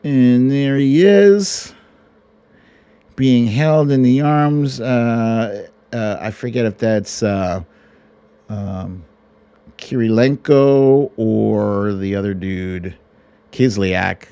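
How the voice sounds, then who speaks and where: A man hosting a live online storytime show, switching between theatrical storybook reading and casual chat, with an exaggerated, mocking, drawn-out delivery. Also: medium pitch, warm tone, clear, resonant tone, lo-fi sound.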